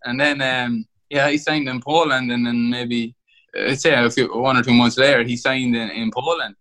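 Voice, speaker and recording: A young man with an Irish accent talks at an easy, rambling pace about his life playing football abroad. He often restarts mid-phrase. His voice sits at an ordinary pitch for a man. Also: steady tone, normal speed, fairly bright tone. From Ireland. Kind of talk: interview over a call.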